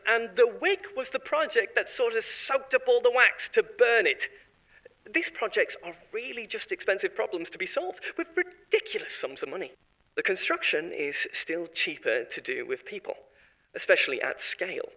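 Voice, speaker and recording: A man's voice: high-pitched, bright, forceful tone, heavily acted, rough recording. An actor playing a boastful, self-satisfied former corporate consultant who chats on and laughs at his own jokes. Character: smug, boastful male corporate insider. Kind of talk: audio drama monologue.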